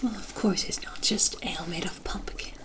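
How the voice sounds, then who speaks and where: A woman playing a kindly forest witch in an ASMR roleplay, gentle and reassuring, with formal storybook phrasing. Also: fairly low pitch, normal speed, close to an everyday voice. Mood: gentle, confiding. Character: kindly forest witch brewing a protective potion.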